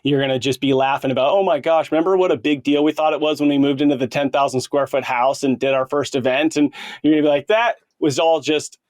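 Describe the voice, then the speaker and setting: A man's voice: fairly high pitch, steady tone, fast, a little mellow, no echo, studio quality. A man in his late thirties hosting a podcast, talking earnestly and informally with plenty of bro slang. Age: late 30s. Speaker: podcast host. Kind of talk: casual conversational podcast interview.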